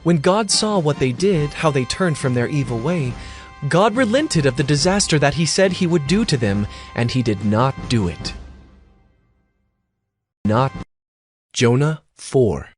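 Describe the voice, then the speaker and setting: A man narrating a scripture audio drama in an expressive storytelling manner. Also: on the high side, a little slow, long pauses, faint music behind.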